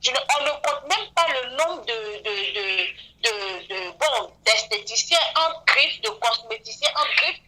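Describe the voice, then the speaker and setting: A woman, speaking earnestly as a beautician guest on a radio interview and often filling pauses with "voilà". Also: on the high side, expressive, bright, forceful tone, rough recording. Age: 40s. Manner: earnest, frequent "voilà" fillers.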